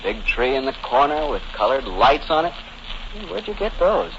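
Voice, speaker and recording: A man's voice: mid-range voice, big pitch swings, normal speed, fairly bright tone. An American man announces in a 1940s radio style. He has a mid-range voice and a genial, folksy, scripted manner. The recording is dull with faint noise. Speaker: announcer and host.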